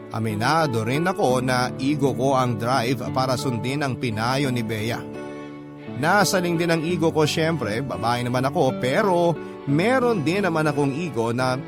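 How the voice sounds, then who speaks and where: A mid-pitched man narrates a letter in classic radio storytelling style. He moves through it in measured phrases, with guitar music clearly playing behind him.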